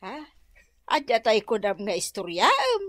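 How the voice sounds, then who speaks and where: An actress playing a curious, easily scandalized gossip friend with an Ilocano accent, questioning and exclaiming in a radio comedy drama. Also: female voice, medium pitch, wildly swooping pitch, measured pace, fairly bright tone, no echo, clean studio sound, full character voice.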